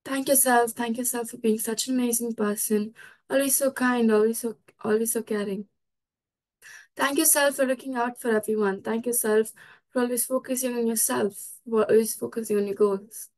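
A woman's voice: on the high side, fairly even intonation, moderate pace, darkish tone, low-quality recording. A woman leads a guided meditation and exercise routine, giving instructions in a small room at home. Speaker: session leader of a meditation routine.

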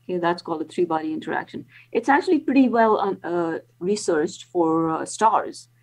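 A woman's voice: fairly low pitch, expressive, moderate pace, darkish tone, rough recording. A woman in her sixties, a planetary scientist, explaining carefully on a video call with frequent ums and restarts. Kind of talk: explanatory interview answers.

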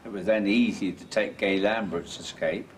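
Low-voiced older English man, outdoors. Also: moderate pace, slight background noise. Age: older, 60s. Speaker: interviewee. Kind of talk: casual explanatory interview. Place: outdoors beside old stone building.

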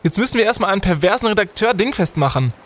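A man acting a young student detective who leads his gang, pompous and bookish. His voice sits a little high for a man, in radio-play style. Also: expressive, racing, slight background noise. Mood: eager, indignant. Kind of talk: audio-drama acting.

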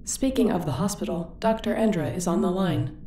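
Ship computer, female, voiced by a woman: lowish, echoey and distant, formal, literal, sci-fi audio drama. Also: fairly quick, close to an everyday voice. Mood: matter-of-fact. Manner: formal, even, matter-of-fact. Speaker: voice actor. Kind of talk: audio roleplay acting. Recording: echoey, distant, faint noise.

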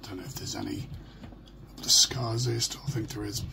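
A middle-aged British man with a hoarse, croaky voice, talking haltingly and in a downbeat way in a casual personal video diary. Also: fairly low pitch, fairly even intonation, in a whisper. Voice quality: croaky, gravelly. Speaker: vlogger. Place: small furnished room at home. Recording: noisy home phone or webcam recording.

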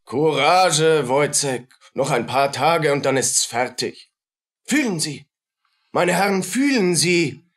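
Slow, pompous lecturing doctor. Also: male voice, a little high, animated, a bit bright, full character voice. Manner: pedantic, declaiming, commanding. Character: pompous, callous male doctor lecturing his students. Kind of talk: German stage radio play acting.

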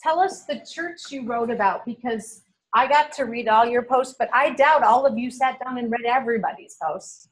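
Woman, middle-aged, husky, classroom instructor, friendly, animated. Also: a little high, normal speed, poor audio. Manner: friendly, animated, gestures with hands. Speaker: seminary instructor. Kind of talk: classroom teaching and prayer. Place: large classroom with drop ceiling. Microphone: camera on the table, below the speaker.

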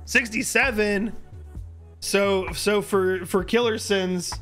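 Hoarse guy streamer in his early 30s, kinda high voice, chatting casually, faint music in back. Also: steady tone, takes it slowly, fairly bright tone. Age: early thirties. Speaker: live streamer. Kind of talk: casual livestream chat. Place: indoor home streaming room. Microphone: close boom-arm microphone.

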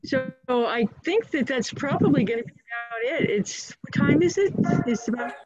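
A woman's voice: high-pitched, lively intonation, moderate pace, darkish tone, faint music behind. A woman moderating a casual online event as host, speaking on a video call from a bookshop. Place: bookshop, in front of shelves. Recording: dull, lo-fi video call audio.

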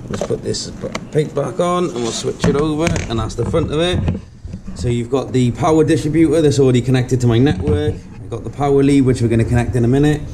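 Male demonstrator, mid-pitched, plain and practical explainer delivery, noisy indoor camera audio. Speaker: product demonstrator. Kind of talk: informal how-to product demonstration. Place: indoor showroom at a demonstration table.

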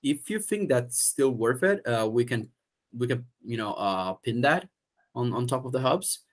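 A man's voice: medium pitch, animated, measured pace. Italian man on a video call. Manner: hesitant, many fillers and restarts. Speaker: event organizer. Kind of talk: casual video call meeting. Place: room at home.

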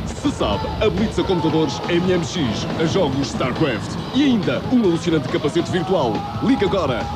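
Higher-pitched male promo announcer. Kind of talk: dramatic scripted promo narration.

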